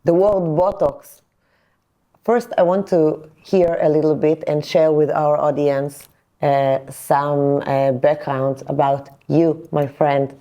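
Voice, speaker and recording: Low, mellow-voiced woman hosting. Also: little pitch movement, takes it slowly. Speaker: podcast host, interviewer. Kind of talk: conversational podcast interview.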